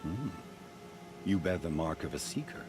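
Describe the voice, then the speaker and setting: A very low-voiced, secretive keeper of hunting grounds, talks in a measured, confiding way. It is game dialogue with music clearly playing underneath. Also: male voice, very animated. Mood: appraising. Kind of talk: video game voice acting.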